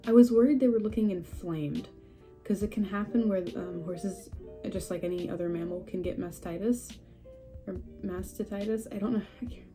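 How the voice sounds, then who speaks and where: Stuffy-voiced young woman, mellow tone. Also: medium pitch, normal speed, faint music behind. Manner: rambling, chatty, self-deprecating, laughs often. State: stuffy and a little scratchy from a cold. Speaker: horse breeder vlogger. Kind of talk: casual update vlog. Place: at home among houseplants.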